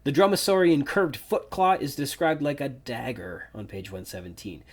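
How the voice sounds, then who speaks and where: Breathy man musing on a book. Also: medium pitch, moderate pace. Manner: thoughtful, musing, nitpicky asides. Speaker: podcast host. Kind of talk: solo book-discussion podcast.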